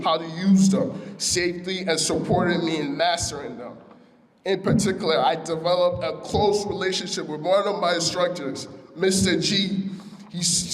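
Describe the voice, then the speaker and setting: A young man from Ghana, a student speaker, reading an earnest prepared speech at a ceremony with small stumbles. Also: a little high. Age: young adult. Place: large hall at a school ceremony. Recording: echoey hall with long reverb.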